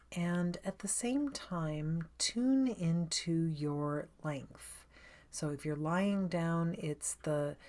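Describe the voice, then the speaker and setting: A woman leading a guided meditation as a coach, with a soothing, unhurried and inviting manner of gentle instruction. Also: sits low.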